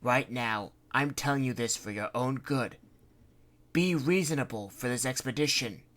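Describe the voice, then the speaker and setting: A woman's voice: rumbling low, normal speed, fairly bright tone. Woman doing a bossy, demanding man. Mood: stern. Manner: pushy, demanding. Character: bossy adult man, ship's expedition leader.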